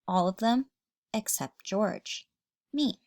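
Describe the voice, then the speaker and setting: A woman's voice: medium pitch, expressive, slow, a little mellow, no room sound, studio quality. A woman teacher reading a children's story aloud expressively, addressing young listeners.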